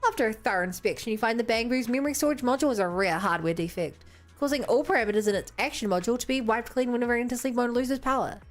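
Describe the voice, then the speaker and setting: A woman's voice: a little high, racing, faint music behind. A woman from New Zealand on a gaming livestream, chatting to viewers and reading game text aloud. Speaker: game streamer.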